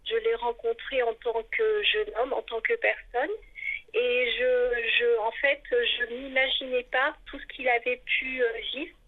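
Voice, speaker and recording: Female reader giving a testimonial, high-pitched, short hesitant phrases with repeated key words, narrow telephone-like audio. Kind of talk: personal interview testimony. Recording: narrow, phone-like muffled sound.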